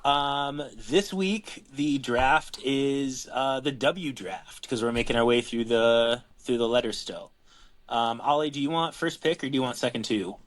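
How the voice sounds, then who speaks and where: A man co-hosts a podcast in loose, casual chat. His voice sits at a middle pitch, and he talks in an easygoing, rambling way, with the odd casual swear. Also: measured pace. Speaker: co-host.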